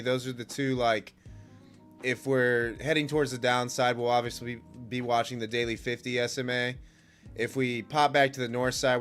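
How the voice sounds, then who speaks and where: Man, mid-pitched voice, slightly stuffy from a cold, livestream trading host, casual rambling commentary, informal slang, laid-back, faint background music. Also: fairly bright tone. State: stuffy nose and cough from a cold. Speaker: livestream host, futures day trader. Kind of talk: casual live market commentary and chat.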